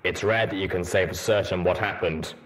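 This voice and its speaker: A man voicing a blunt, world-weary armored pony soldier in a measured, matter-of-fact way for a storybook reading. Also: sits low, fairly even intonation, a bit bright, a little hiss or hum. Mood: grave, matter-of-fact.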